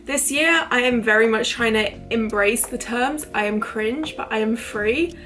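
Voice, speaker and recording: Chatty woman, slightly croaky. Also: a little high, a little slow, pushed and bright, soft background music. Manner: chatty, rambling, lots of fillers. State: recovering from illness, voice a bit croaky. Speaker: vlogger. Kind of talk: casual talking-to-camera vlog.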